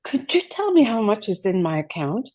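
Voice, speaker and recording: A woman's voice: mid-range voice, big pitch swings, moderate pace, a little mellow. A woman playing a frightened, desperate mother in a script read, hesitant and stammering. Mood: nervous, stammering. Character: frightened, desperate mother under a kidnapper's orders. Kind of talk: dramatic script table read.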